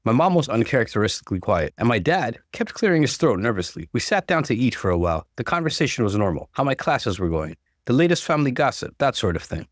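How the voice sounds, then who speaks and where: A man reads a personal story aloud with lively, swinging intonation. His delivery is earnest and expressive, with clear articulation. The sound is close and dry, with no room around it. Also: medium pitch, quick pace, hardly any pauses, no room sound. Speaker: story narrator. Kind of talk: expressive first-person story narration, acting out dialogue.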